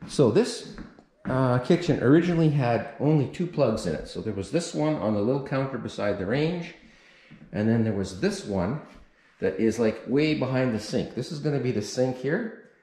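A man's voice: medium pitch, expressive, moderate pace, mellow. A middle-aged man casually walking through a home renovation, explaining the electrical work with lots of fillers and restarts. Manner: unscripted, many fillers and restarts, pointing things out. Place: empty room of a mobile home under renovation, bare drywall. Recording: echoey bare room.

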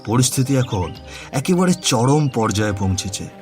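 A man's voice: mid-range voice, lively intonation. A man narrating a horror story in a suspenseful, dramatic manner. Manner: slow, suspenseful, dramatic delivery. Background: background music.

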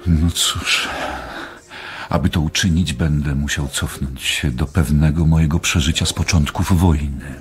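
An actor in a radio play as a long-winded, wry American war correspondent telling a rambling story. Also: male voice, extremely low pitch, fairly even intonation, measured pace, soft background music.

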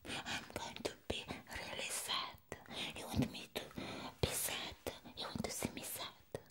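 A woman voicing a sweet, doting and possessive vampire friend in an ASMR roleplay, murmuring tenderly and affectionately. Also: bright, forceful tone. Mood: pleading, protective. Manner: tender, breathy, slow affectionate murmuring with long pauses. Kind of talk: whispered ASMR personal-attention roleplay.

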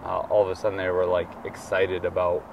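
A relaxed man in his thirties speaks in a deep, low voice and rambles easily through his story. He sits outdoors, recorded from a distance by the camera, with steady street noise behind him. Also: fairly quick, gravelly. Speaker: vlog co-host. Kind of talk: casual travel chat. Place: outdoors beside a travel trailer.

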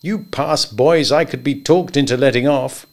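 A man's voice: mid-range voice, normal speed, darkish tone, close to an everyday voice. A man voicing a jovial older male draft-board official who talks in a breezy, coaxing way. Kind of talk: audiobook character voice.

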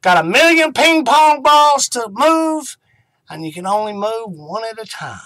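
A middle-aged man hosting an online ministry, talking informally and devotionally to camera in an unhurried way, with emphatic pauses and repeated key phrases. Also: very high voice, sing-song, pushed and bright, no echo.

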